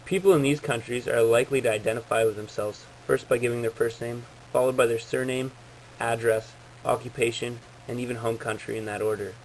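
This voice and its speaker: A man's voice: medium pitch, steady tone, brisk. Man giving a formal presentation, noisy recording. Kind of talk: prepared informational presentation, read out.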